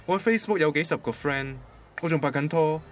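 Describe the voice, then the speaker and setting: A man's voice: fairly high pitch, fast talker, slight background noise, lightly acted. An actor playing an ordinary, literal-minded young male banker with a Hong Kong Cantonese accent, in a radio drama. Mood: defensive. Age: young adult. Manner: matter-of-fact, pedantic.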